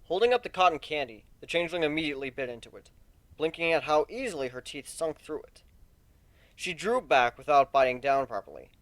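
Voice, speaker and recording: Animated man narrating a story. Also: fairly high pitch, fairly quick, dry recording. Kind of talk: dramatic reading of a fan fiction story.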